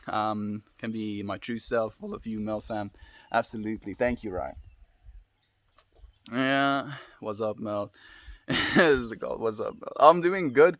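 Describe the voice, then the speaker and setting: A relaxed South African man chatting casually on his live stream, musing aloud and answering viewer comments with easy little laughs. His voice sits at an ordinary middle pitch. It is recorded close and dry, with almost no room sound. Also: dry recording. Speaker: livestream host.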